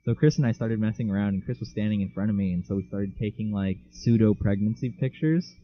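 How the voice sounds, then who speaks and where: A teenage boy trades jokes and tells rambling stories on a casual podcast, with a voice that sits a little low. The sound comes through a dull, lo-fi home mic. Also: quick pace. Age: teens. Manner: joking, teasing, tells stories with big laughs. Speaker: podcast co-host. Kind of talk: casual chat.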